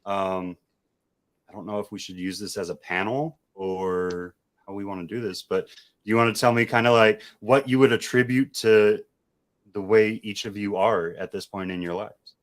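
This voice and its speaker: Laid-back low-voiced podcast host. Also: male voice, moderate pace. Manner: relaxed, rambling, filler-heavy. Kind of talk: casual podcast chat.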